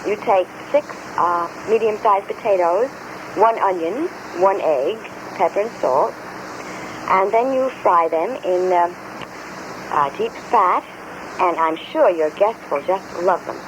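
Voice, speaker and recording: A woman's voice: lowish voice, slow, background noise. A woman presenting a local radio program in old-fashioned women's show style on a vintage recording.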